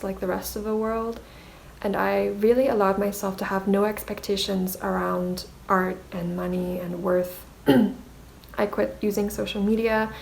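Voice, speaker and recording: A woman vlogger and native Mandarin speaker talks at an unhurried, slow pace. Her delivery is level, with little pitch movement, reflective and a little hesitant, like a personal diary entry. There is faint ambient noise behind her. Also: vocal fry, darkish tone, low-quality recording. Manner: self-conscious, hesitant. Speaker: artist vlogger.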